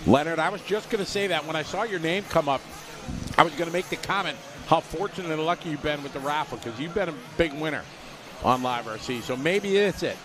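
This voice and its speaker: A man calling a radio-controlled car race live, giving quick play-by-play and reading out viewer messages. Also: fairly high pitch, crowd noise. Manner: rapid play-by-play, reads viewer chat aloud. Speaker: race commentator.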